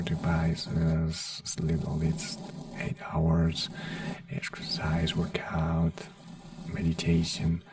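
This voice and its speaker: A man in an ASMR doctor roleplay, gently whispering health advice in halting, non-native English. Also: low voice, creaky, distant mic. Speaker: ASMR creator playing a doctor.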